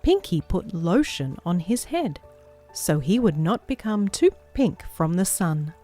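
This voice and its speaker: Lively woman reading a children's picture book. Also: fairly low pitch, a little slow. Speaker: children's story reader and book club host. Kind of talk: read-aloud picture book narration for young children. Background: music behind the voice.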